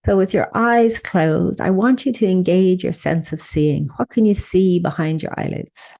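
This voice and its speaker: A woman leading a guided meditation, speaking in a soothing, unhurried way with gentle instructions and pauses. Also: lowish voice, gravelly.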